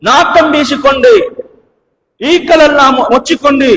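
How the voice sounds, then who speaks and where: High-pitched Sri Lankan Tamil male preacher, echoing hall. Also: normal speed, fairly bright tone. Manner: emphatic, dramatic, with rhetorical questions and repeated phrases. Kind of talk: sermon with storytelling. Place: large mosque hall. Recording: reverberant hall.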